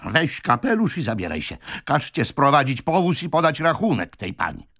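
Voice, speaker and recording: A furious old gentleman in a storybook reading, sputtering and breathless with outrage. The voice leaps wildly up from a creaky base, shouting and repeating itself, recorded very dry. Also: fairly high pitch, wildly swooping pitch, a little slow, dry recording, voice pushed up, full character voice. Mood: angry, scornful.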